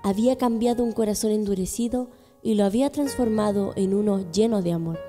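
A woman's voice: mid-range voice, brisk, mellow, soft background music. A woman narrating a religious radio drama from a script.

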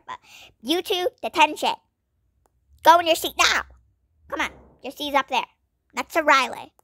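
A woman voicing a strict female schoolteacher who barks loud orders at late students in a game roleplay. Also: high voice, dry recording, clean studio sound, heavily acted. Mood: mock menacing. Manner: bossy, loud scolding orders.

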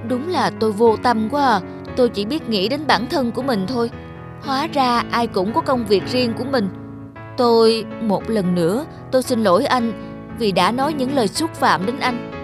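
A weary mother buffalo from a cartoon fable, acted by a woman. Her voice is high and given to grumbling and resentment. Music plays clearly behind her. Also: moderate pace, natural voice. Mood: remorseful. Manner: grumbling, sarcastic. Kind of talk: dubbed cartoon fable acting.

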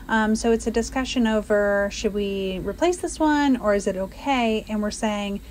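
A woman's voice: mid-range voice, moderate pace, darkish tone, slight background noise, poor audio. A woman narrating a renovation vlog in a casual, conversational, explanatory way. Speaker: host narrator. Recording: background music under voice-over.

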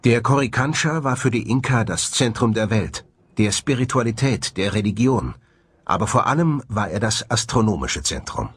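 A man's voice: lowish voice, animated, normal speed, fairly bright tone, breathy edge. A man giving scripted narration as the presenter of a documentary. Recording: music behind the voice. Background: background music.

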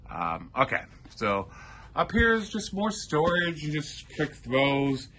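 A man's voice: a little high, wildly swooping pitch, takes it slowly, pushed and bright, a little hiss or hum. A middle-aged man giving a casual, rambling tour of his prop box in a theater prop shop, listing tools with many ums and uhs. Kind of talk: casual show-and-tell tour.

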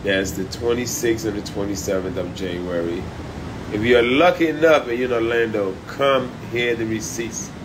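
A man livestreaming from a high-rise room by the beach on a phone at arm's length, talking loud, profane and animated. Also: moderate pace, noisy background. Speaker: comedian livestreaming. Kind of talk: casual live stream chat. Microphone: phone held at arm's length.

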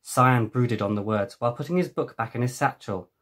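A middle-aged man with a husky voice narrating a children's adventure novel as its author, recorded at a desk in a room at home. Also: mid-range voice, a little fast, fairly bright tone. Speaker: author reading own book. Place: small home room with bookshelves. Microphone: webcam at desk distance.

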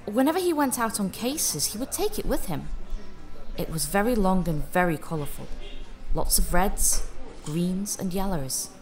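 An actress playing a helpful, candid widow in an audio drama. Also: female voice, medium pitch, very animated, moderate pace, a little mellow, natural voice. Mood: wistful.

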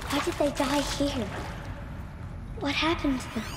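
An actress voicing a curious, defiant young girl in a video game, with a high childlike voice. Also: unhurried, background noise. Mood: wondering.

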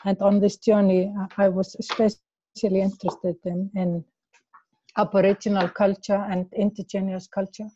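A woman from Iceland with an Icelandic accent, speaking in a casual discussion over a video call, hesitant, repeating words and laughing softly. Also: medium pitch, level tone, normal speed, lo-fi sound, slight background noise. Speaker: class participant.

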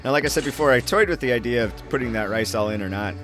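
A man's voice: mid-range voice, fast, fairly bright tone. A middle-aged man talks casually into a camera clipped close by, first at the kitchen counter and then out on a road bike. His mid-range voice stays loose and joking, with music playing underneath the whole way. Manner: chatty, loose and self-mocking, talking to camera. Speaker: cycling vlogger. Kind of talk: casual vlog, cooking and riding. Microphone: action camera on the bike or held close.

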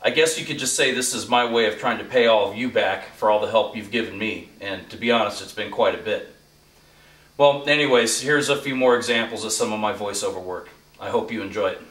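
A man's voice: on the low side, brisk, a bit bright. A middle-aged man, an amateur voice-over artist, talking to camera in a small home studio. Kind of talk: movie-trailer narration and talk to camera. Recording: roomy, distant from mic.

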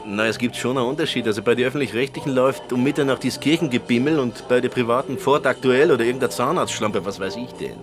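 A man acts a vain and cynical male television entertainer in a radio comedy sketch. He is a showy, dismissive professional with a coarse streak, and music is clearly heard behind him. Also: medium pitch, quick pace, few pauses, close to an everyday voice. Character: cynical, vain, foul-mouthed TV show entertainer.

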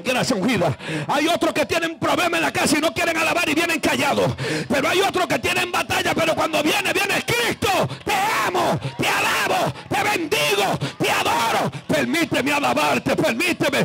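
A man preaching a fervent Pentecostal sermon in a gravelly, strained voice, impassioned and exclamatory, repeating phrases for emphasis. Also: very high-pitched, a bit bright, rough recording. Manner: breaks into speaking in tongues and calls on listeners to respond.

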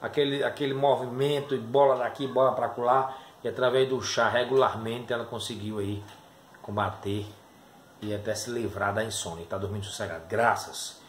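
A man's voice: medium pitch, steady tone, moderate pace. A middle-aged man talking informally to camera, presenting and selling a product. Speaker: herbal tea seller presenting testimonials. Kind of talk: informal sales talk to camera. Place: small dim room indoors. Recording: roomy echo, faint background noise.

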